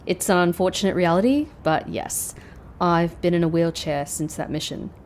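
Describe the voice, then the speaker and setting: An actress playing a guarded, sardonic former secret agent in an audio drama, polite and measured with a dry wit. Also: female voice, fairly low pitch, a little mellow, a little hiss or hum, close to an everyday voice. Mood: wry, measured. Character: guarded, sardonic woman, former secret agent turned call-centre worker.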